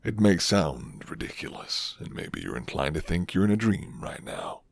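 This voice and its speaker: A man playing a flirty, gentle, rugged werewolf who lives alone in the forest, speaking slowly and hushed in a deep, gravelly ASMR roleplay voice. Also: big pitch swings, full character voice. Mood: grave, calm. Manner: slow, hushed, teasing.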